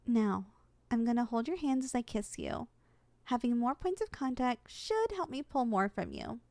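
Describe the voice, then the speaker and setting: Casting: female actor for sweet, flustered succubus student, high lively voice, ASMR roleplay. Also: moderate pace, vocal fry, no room sound, exaggerated voice. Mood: gentle, coaxing. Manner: expressive, flustered, coaxing. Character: eager young succubus trainee, sweet and easily flustered.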